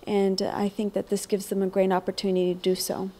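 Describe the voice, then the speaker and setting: A woman from Texas answers interview questions, earnest and easygoing. Her voice sits at an ordinary middle pitch, and she speaks plainly with a few fillers. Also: completely flat delivery, a little fast, mellow. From Texas, USA. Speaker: actress, model and saxophonist.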